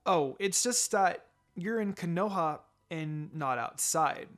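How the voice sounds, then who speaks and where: A man voicing an eager, excitable four-year-old ninja girl in a story reading. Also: a little high, takes it slowly, faint music behind. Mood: curious, hesitant.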